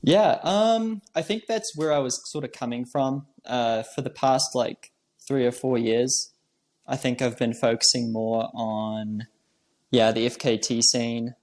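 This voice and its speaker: A man's voice: mid-range voice, unhurried. A young man from New Zealand, a trail runner, chatting casually as an interview guest and filling his talk with "like" and "um". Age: young adult. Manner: relaxed, with many fillers like "like" and "um".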